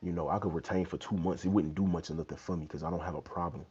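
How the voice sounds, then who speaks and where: Very deep-voiced laid-back young man. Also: fairly even intonation, fast, darkish tone, breathy edge. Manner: laid-back, loose slang, many "you know what I'm saying". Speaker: fitness livestream host. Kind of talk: casual livestream Q&A chat. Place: small bedroom indoors. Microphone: wired earbud mic, phone held low. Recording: dull lo-fi phone audio.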